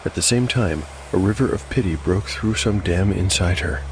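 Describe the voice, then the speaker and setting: A man giving measured, dramatic, literary narration of a scripted dark fantasy tale. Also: sits low, noisy background. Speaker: narrator and host. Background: music.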